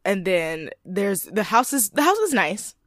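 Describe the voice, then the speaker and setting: A woman's voice: mid-range voice, big pitch swings, a little slow, no room sound, studio quality. A woman co-hosting a podcast, casually recapping a reality show and filling her talk with "like" and laughter. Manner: chatty, lots of "like" and laughs. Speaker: podcast co-host.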